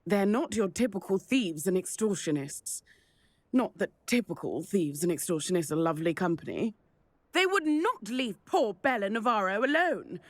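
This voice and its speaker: A woman's voice: mid-range voice, big pitch swings, normal speed, dry recording. Indignant village woman, swooping dramatic delivery. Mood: grave, indignant. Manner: dramatic, emphatic, formal. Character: indignant, fretful village shopkeeper woman, wary of outlaws. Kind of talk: video game cutscene acting.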